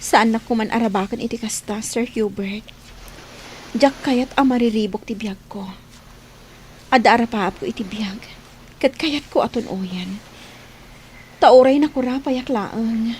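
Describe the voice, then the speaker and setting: An earnest young housemaid played by a woman in an Ilocano radio drama, with a slightly high, soft voice. She speaks with pleading sincerity, and there is faint noisy ambience behind her. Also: unhurried, long pauses, natural voice.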